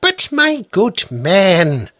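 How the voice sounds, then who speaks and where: A man reading the part of a stern, patient judge who questions the accused formally and slowly. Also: high-pitched, huge pitch swings, fairly bright tone, no room sound, clean studio sound, pitched above the performer's own voice, exaggerated voice. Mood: stern questioning.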